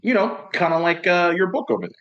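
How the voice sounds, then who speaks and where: Man doing an easygoing bookish young villager, eager, lively pitch. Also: quick pace, highs cut off. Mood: friendly. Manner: casual, eager, informal.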